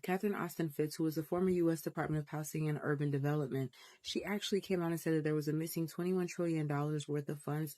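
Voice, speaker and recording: A woman's voice: low-pitched, warm tone. A woman gives an explanatory monologue as a social media creator addressing her viewers.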